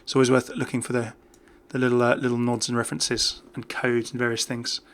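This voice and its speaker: A man's voice: medium pitch, level tone, a little fast. A man giving casual, off-the-cuff game commentary as a YouTuber, chatting and thinking aloud as he goes. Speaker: gaming YouTuber.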